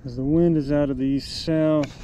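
A man's voice: fairly high pitch, normal speed. A middle-aged American man casually explaining his fishing gear while out on a lake, recorded on an action camera. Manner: relaxed, chatty, explains gear step by step. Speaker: outdoor vlogger. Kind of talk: casual how-to narration. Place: outdoors on a lake, on a paddle board. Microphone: action camera mounted on the board. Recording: faint wind and water noise.

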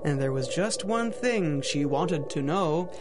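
A man's voice: on the high side, lively intonation, measured pace, over music. A man giving theatrical, dramatic narration in rhyming storybook verse for a radio drama.

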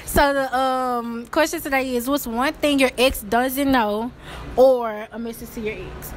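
A woman's voice: high-pitched, fairly even intonation, measured pace, slight background noise. A young woman interviewing strangers in a shopping mall on her phone, teasing and pushing them to answer. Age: young adult. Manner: teasing, pushy, laughing. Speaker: street interviewer. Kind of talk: casual street interview. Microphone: phone held out.

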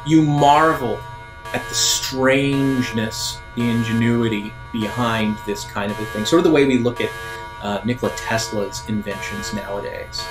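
A man acting as game master, improvising descriptive tabletop narration and often pausing mid-phrase. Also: mid-range voice, poor audio. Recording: background music under voice.

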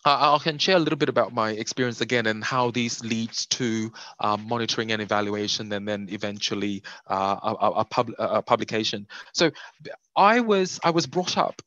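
A man's voice: fairly low pitch, brisk, bright, forceful tone, no room sound, highs cut off. Man lecturing over a video call. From Indonesia. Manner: conversational, many fillers and self-corrections. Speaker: webinar speaker. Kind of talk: lecturing in an online workshop.

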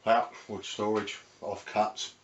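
A man's voice: lowish voice, little pitch movement, slow. A middle-aged British man giving a casual, chatty, unscripted tour as host of a woodworking channel. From United Kingdom. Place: small cluttered indoor home workshop. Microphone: camera mic a few metres away. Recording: roomy, distant mic, faint background noise.

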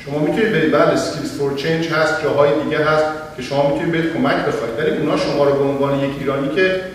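A middle-aged man on a panel, answering an audience question in a measured, explanatory way with some hesitations, speaking into a handheld mic in a library auditorium. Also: a little high, fairly even intonation. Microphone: handheld mic, heard from far camera. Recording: echoey auditorium from far off, faint hiss.

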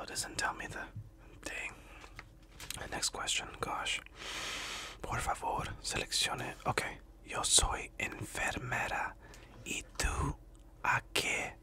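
A man whispering an ASMR study session, his breathy voice very bright and crisp up close. His pitch swings widely as he chats casually, with plenty of slang, between quiz questions. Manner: casual slangy whispering, chatty asides to the listener. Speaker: ASMR creator.

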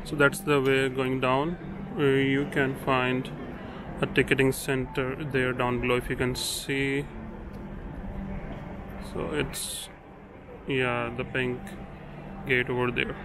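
A man's voice: level tone, slow pace, long pauses. A man narrating a casual walk-around vlog in a hesitant, unscripted way. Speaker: vlogger. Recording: noisy outdoor site, ambient rumble.

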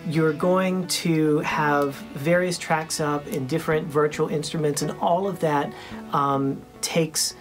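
A young man with a high voice, hosting a casual vlog from his small home studio, talking earnestly with many ums and restarts. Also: steady tone, slow pace, faint music behind. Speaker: independent musician and channel host.

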